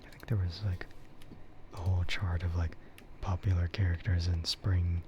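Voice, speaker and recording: A man rambling casually and hesitantly in a soft, breathy ASMR whisper, as a streamer chatting close to the mic. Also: deep voice, flat delivery, moderate pace, muffled recording, background noise.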